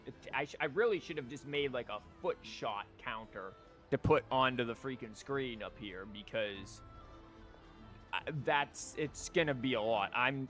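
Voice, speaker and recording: Guy streaming a game, rambly wry commentary, some music quietly behind him. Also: mid-range voice. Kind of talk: casual live game commentary.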